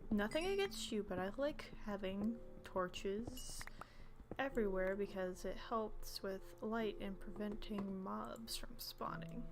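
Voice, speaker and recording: A woman's voice: mid-range voice, slow, music in the background. A young woman streaming a game, chatting casually and rambling, often dropping into whispered asides. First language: English. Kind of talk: casual gameplay chat.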